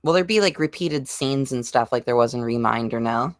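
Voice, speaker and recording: A woman's voice: extremely low pitch, a little fast, no echo, clean studio sound. A woman chatting casually as one of the panel on a group podcast. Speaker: podcast panelist and fan voice actor.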